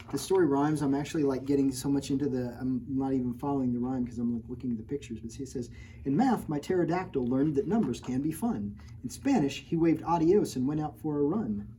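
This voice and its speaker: A woman's voice: very deep voice, warm tone, slight background noise, poor audio. A woman librarian reading a picture book aloud to children, stopping often for playful, teasing remarks about the pictures. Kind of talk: storytime read-aloud with chatty asides.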